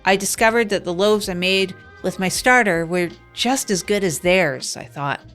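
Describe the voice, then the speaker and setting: A woman narrating as a wry, down-and-out woman in an improvised comedy audio drama, rambling deadpan with self-mocking asides. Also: mid-range voice, normal speed, soft background music, natural voice. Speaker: narrator of the show. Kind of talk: improvised comic first-person narration.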